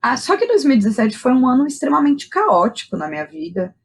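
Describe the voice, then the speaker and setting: A woman's voice: high voice, big pitch swings, a little fast. A Brazilian woman, a podcast guest, telling personal stories in a candid, informal way with plenty of slang and tag questions. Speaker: guest, playwright and director. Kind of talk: casual personal storytelling in a podcast interview.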